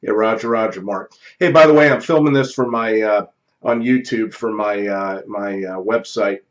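A man's voice: fairly low pitch, moderate pace, lo-fi sound. A middle-aged American man chatting casually as an amateur radio operator, using call signs and phonetic spelling. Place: home office radio room. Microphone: close studio microphone on boom arm.

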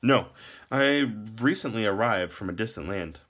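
A man's voice: lowish voice, animated, moderate pace, a bit bright, faint music behind, pitched above the performer's own voice. Guy reading as a young storybook wanderer, polite, sorta formal. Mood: guarded. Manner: polite, trying to sound formal. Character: young male traveler, polite, playing along with restrained sarcasm.

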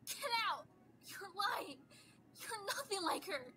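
A woman's voice: extremely high pitch, sing-song, slow pace, pushed and bright, a little hiss or hum, heavily acted. A woman voicing a tired, guarded, stern female rabbit-like creature in a comic dub. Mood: angry.

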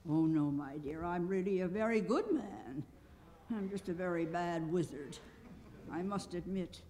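A woman's voice: fairly low pitch, animated, moderate pace, darkish tone, lightly acted. A woman voicing a meek little old humbug wizard with a hesitant, kindly, evasive manner in a storybook reading. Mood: mild, reassuring. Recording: long hall reverb, clear background noise.

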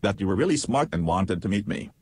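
Evasive interview chatbot, played by a man: lowish male voice, childlike bluntness, dry close sound. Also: expressive, fast, darkish tone, husky, no room sound. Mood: matter-of-fact. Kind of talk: reenacted text-chat interview.

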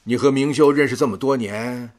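A man's voice: medium pitch, fairly quick, a little hiss or hum, lightly acted. An actor playing a kindly, worldly older father in a radio drama, with a folksy, avuncular manner. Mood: concerned, persuasive. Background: music.

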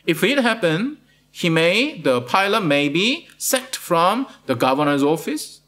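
Animated pastor asking "right?" constantly. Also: male voice, high-pitched, unhurried. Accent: Malaysian. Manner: asks "right?" after most points, repeats words. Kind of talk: sermon. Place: studio with plain blue backdrop.

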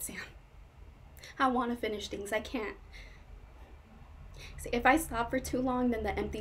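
A woman's voice: medium pitch, slight background noise, close to an everyday voice. A woman performing a stage monologue as a troubled young woman, intense, wounded and pleading with her lover. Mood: anxious, pleading.

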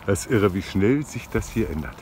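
A man in his fifties narrating a travel vlog outdoors in halting short phrases. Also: lowish voice, lively intonation, darkish tone. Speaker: sailing vlogger. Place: outdoors, on a sailboat and hiking trails. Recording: noisy outdoor recording with boat ambience.

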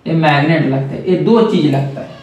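A man's voice: fairly high pitch, normal speed, a little mellow, heavy reverb, far from the mic, rough recording. A man explaining a circuit step by step to viewers, repeating key points and calling them friends. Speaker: electronics trainer. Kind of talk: whiteboard circuit lesson.

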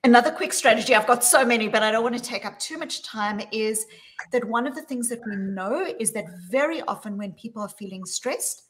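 A woman in her forties with a South African accent speaks as a guest over a video call from a small room. Her delivery is measured and emphatic, and she slows down to lean on key words. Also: fairly high pitch. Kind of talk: talk on workplace wellbeing. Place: small room with plain wall.

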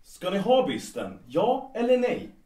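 An actor playing a dutiful young art student and son in an audio drama. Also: male voice, high-pitched, huge pitch swings, dark tone, recorded from a distance. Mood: businesslike. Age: young adult. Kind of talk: Swedish radio drama dialogue. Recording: echoey room, off the mic.

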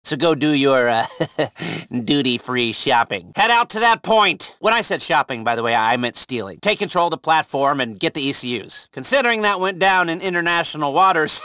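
An actor playing a chatty, sardonic agent handler who gives game mission orders with wry, hesitant asides. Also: male voice, fairly high pitch, pushed and bright, no echo, studio quality, full character voice. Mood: wry, nervous. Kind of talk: conversational video game mission dialogue.

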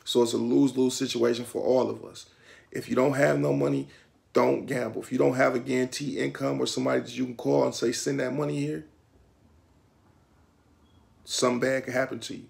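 A middle-aged man with a Detroit accent talks straight into a camera in a small room at home. His mid-pitched voice carries a heavy gravelly rasp. He explains the rules in a streetwise, instructive way, gesturing often with his hands. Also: level tone, a little fast, darkish tone. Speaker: vlogger. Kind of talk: casual talk to camera.

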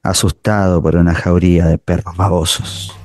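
A man's voice: sits low, level tone, normal speed, darkish tone, dry recording, studio quality, lightly acted. A man playing a sombre, tender figure who remembers a schoolmate, in grave, reflective radio drama narration.

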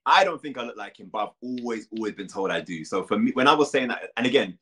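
A man's voice: lowish voice, lively intonation, fast, bright, forceful tone. A man in his thirties talking casually as an interview guest, full of filler words. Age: 30s. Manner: chatty, lots of "like" fillers.

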